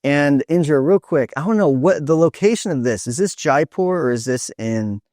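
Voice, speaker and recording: A man hosting an informal online presentation, speaking in an earnest, halting way over a video call. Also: fairly high pitch, animated, darkish tone, no room sound, clean studio sound.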